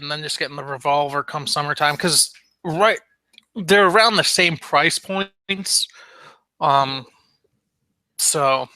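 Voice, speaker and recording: Bright-voiced, rambling young man. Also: fairly high pitch, clear, resonant tone, dry recording. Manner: rambling, with frequent ums and restarts. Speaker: podcast co-host. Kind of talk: casual chat about firearms.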